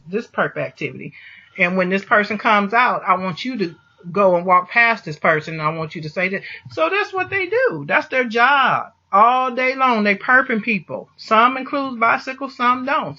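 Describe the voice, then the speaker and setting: Male vlogger, high and sharp-edged voice, impassioned religious pep talk, insistent repetition, muffled lo-fi sound. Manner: emphatic, repeats phrases for stress. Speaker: vlogger answering a listener. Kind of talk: impassioned motivational monologue with religious encouragement.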